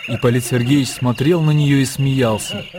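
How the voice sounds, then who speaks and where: Мужчина читает литературное повествование от автора в радиоспектакле. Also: male voice, mid-range voice, fairly even intonation, moderate pace, noisy background. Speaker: narrator. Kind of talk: scripted literary narration in radio drama.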